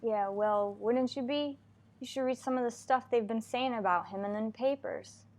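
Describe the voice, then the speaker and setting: A woman's voice: a little high, moderate pace, darkish tone, natural voice. A woman playing a tough, businesslike police detective sergeant who narrates a radio crime drama in a clipped, matter-of-fact way. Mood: concerned. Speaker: narrator and lead actor.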